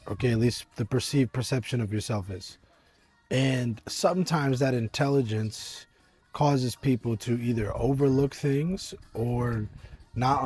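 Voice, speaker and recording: Husky deep-voiced man, earnest vlog. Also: darkish tone. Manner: earnest, rambling, many fillers and restarts. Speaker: business coach. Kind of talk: casual motivational talk to camera. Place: outdoors at a winery. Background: faint eighties music.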